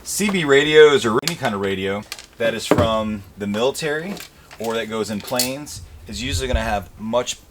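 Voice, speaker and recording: A man hosting a hands-on teardown video, talking to the camera in a casual, plain-spoken, explanatory way. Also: lowish voice. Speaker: host of an e-waste teardown video. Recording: clear background noise with handling clicks.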